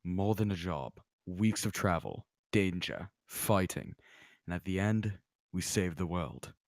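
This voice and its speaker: A man reading the part of a huge paladin knight in a screenplay table read, gruff, terse and commanding. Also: low voice, a little slow, no echo, studio quality. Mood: gruff, businesslike.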